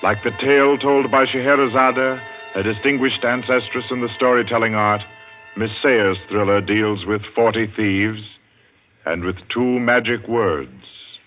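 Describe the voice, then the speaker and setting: Low-pitched man narrating vintage radio suspense. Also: steady tone. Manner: dramatic, ominous, measured with suspenseful pauses. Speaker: announcer and narrator. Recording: muffled vintage radio broadcast. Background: loud dramatic orchestral music.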